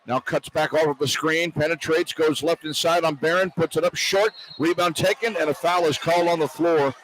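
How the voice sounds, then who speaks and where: A man's voice: mid-range voice, rough recording. An American man doing live radio basketball play-by-play in terse, clipped phrases. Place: courtside in a large indoor arena. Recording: radio broadcast with clear arena noise. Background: arena ambience and noise.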